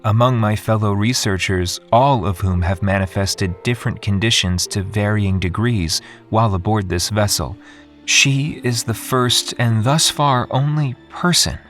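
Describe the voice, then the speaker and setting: An actor playing an introspective researcher, giving a measured, contemplative first-person narration in an audio drama. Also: male voice, on the low side, a bit bright. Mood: reflective, uncertain. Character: solemn, introspective male researcher recounting his ordeal. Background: music.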